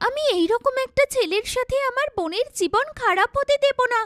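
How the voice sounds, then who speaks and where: A woman voicing a kind, self-effacing young sister in a cartoon, speaking in a gentle, earnest way. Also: very high voice, expressive, brisk, no echo, clean studio sound, voice pushed up. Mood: worried. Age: young adult. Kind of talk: cartoon dialogue.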